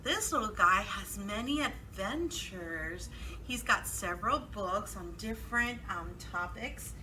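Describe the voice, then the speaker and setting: Bright, very animated woman storyteller. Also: medium pitch, slow, a little hiss or hum, poor audio. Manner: sing-song, exaggerated delivery for young children. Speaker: library storytime host. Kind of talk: children's storytime, read-aloud and singalong.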